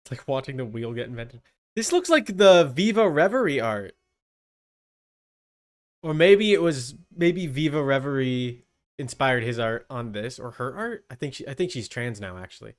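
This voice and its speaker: A man's voice: medium pitch, sing-song, normal speed. A young man giving casual reaction commentary as a streamer, informal and exclaiming as he thinks aloud.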